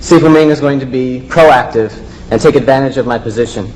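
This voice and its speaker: A man's voice: medium pitch, fairly quick, noisy background. A man giving plain, explanatory commentary as he demonstrates martial arts drills as a student presenter.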